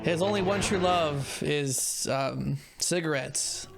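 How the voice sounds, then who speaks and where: A man's voice: on the high side, slow pace, creaky, over music. A man streaming a game, giving casual, slangy commentary with a teasing, joking manner.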